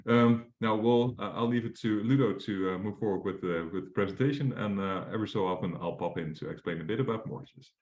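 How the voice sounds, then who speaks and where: A man's voice: lowish voice, fast talker, few pauses, darkish tone, echoey room, muffled recording. A young Dutch man presenting as a mortgage advisor in a webinar, casual and full of fillers and restarts. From Netherlands.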